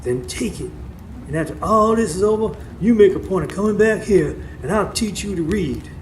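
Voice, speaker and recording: A man's voice: sits high, lively intonation, normal speed. A man playing a wise, stern old folk healer in a live radio drama, terse and commanding as he instructs. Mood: firm, guiding. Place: stage before a live studio audience. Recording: live stage recording with background noise.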